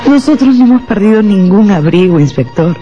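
An actress playing a guarded, anxious wife in a radio drama, hesitant and strained, in slightly dull audio. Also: female voice, medium pitch, animated, brisk, mellow, natural voice. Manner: hesitant, repeating words under strain. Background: faint music.

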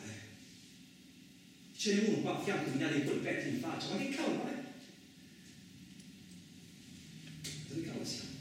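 A bewildered, rough-spoken truck driver played by a male actor tells his ordeal in a radio drama. His fairly high voice is halting and colloquial, given to repeating itself. It comes through very echoey and from a distance. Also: natural voice. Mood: confused, exasperated. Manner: halting, repetitive, colloquial, with agitated outbursts. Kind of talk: theatrical dramatic monologue for radio. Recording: very echoey, distant, clearly noisy recording.

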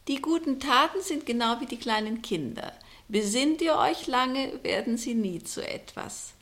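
An actress playing a mysterious, knowing fortune-teller in a vintage German radio play, declaiming poetically with hushed, breathy drops. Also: female voice, a little high, expressive, unhurried. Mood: knowing, instructing. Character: mysterious fortune-teller woman casting spells, solemn and knowing. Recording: vintage 1960 radio drama recording.

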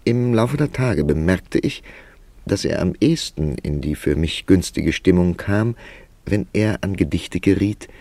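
An actor playing a thoughtful, calculating male writer who tells his story in a deliberate, literary way in a German radio play. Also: low-pitched, a little mellow, no echo, heavily acted. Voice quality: creaky, gravelly.

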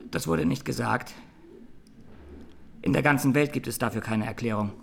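An actor playing a haunted young Armenian defendant giving grave, deliberate testimony in a courtroom radio drama. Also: male voice, mid-range voice, gravelly, a little hiss or hum. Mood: anguished.

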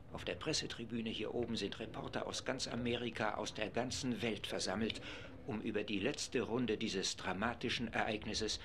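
A man acting as a 1940s radio reporter calling a live assembly session, his voice lowish and steady, with a faint hiss under it. He describes the hall and the delegates as things happen. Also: natural voice. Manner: brisk, informative, narrating events. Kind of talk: live broadcast commentary, radio play.

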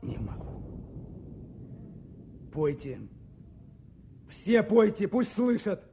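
A tense, high-voiced trench soldier. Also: male voice, big pitch swings, extremely slow, dark tone, exaggerated voice. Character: tense, anxious Soviet soldier leading his men in a trench. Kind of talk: Soviet radio war drama acting. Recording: noisy old radio play with battle ambience.

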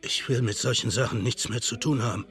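An actor playing a weary former outlaw in a German western radio drama, subdued, curt and guarded. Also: male voice, medium pitch, fairly quick, fairly bright tone, faint music behind, lightly acted. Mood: guarded, resigned. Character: weary, reformed outlaw living peacefully, guarded.

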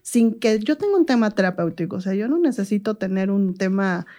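A woman's voice: mid-range voice, lively intonation, a little fast, mellow. A woman with a Mexican accent, explaining ideas conversationally as the host of a radio interview.

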